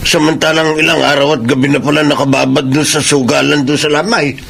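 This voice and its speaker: A man's voice: fairly high pitch, level tone, fairly quick, gravelly, a bit bright, slightly rough, exaggerated voice. An actor playing a concerned, disapproving older village man in a Filipino radio drama, earnest and reproachful. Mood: indignant.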